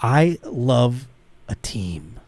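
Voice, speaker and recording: A man's voice: medium pitch, big pitch swings, very slow, darkish tone. A middle-aged American man hosting a podcast in casual, comedic banter, joking and teasing as he talks. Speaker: podcast host.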